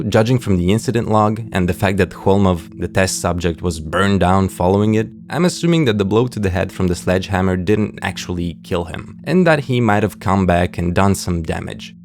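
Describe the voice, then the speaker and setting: A man's voice: sits low, gravelly, darkish tone. A man narrating a scripted video essay, understated and wry, with casual asides.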